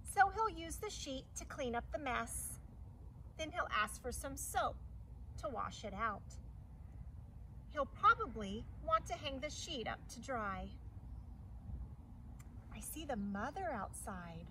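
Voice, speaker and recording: A middle-aged woman reading a picture book aloud to young children in an animated, deliberate, instructive teacher's manner, with asides to her listeners. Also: high voice. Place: outdoors on a lawn by a hedge. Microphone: camera a few metres away. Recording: distant mic, outdoor ambient noise.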